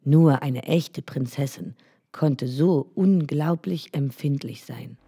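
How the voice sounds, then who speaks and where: Gentle woman reading a bedtime tale. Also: very deep voice, slow pace. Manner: gentle, expressive. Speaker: storyteller.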